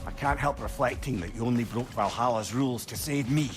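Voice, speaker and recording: An actor playing a witty, talkative old companion in a video game, chatty and wry, with a fond, self-deprecating storyteller's manner. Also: male voice, medium pitch, a little fast, close to an everyday voice. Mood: wistful, wry. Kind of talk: dramatic video game acting. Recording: long echoing hall reverb, music behind.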